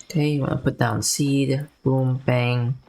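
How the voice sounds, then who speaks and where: A woman's voice: rumbling low, level tone, measured pace, a little mellow. A woman giving casual, slangy gameplay commentary in a laid-back, musing way. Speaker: game streamer.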